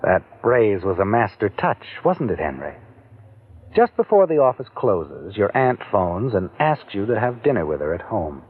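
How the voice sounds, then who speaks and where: Man narrating old radio drama, sly n taunting, lively voice, tinny sound w faint music. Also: on the low side, normal speed, breathy edge. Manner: insinuating, taunting. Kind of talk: second-person 1940s radio narration.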